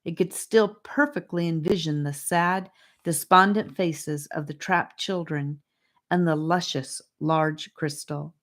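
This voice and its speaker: A woman narrating a children's fantasy audiobook with deliberate, expressive phrasing. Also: low-pitched. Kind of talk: scripted storybook narration.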